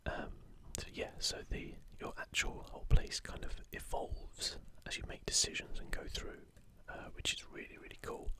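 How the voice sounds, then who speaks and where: A man speaks entirely in a soft, breathy whisper, close to the microphone. He gives ASMR commentary in an informal, slightly hesitant way, as a host showing something to the listener. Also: normal speed, hardly any pauses.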